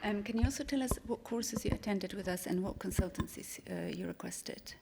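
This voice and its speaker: A woman chairing an informal conference panel as host, speaking in hesitant, halting phrases. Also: medium pitch, vocal fry, darkish tone, slight background noise.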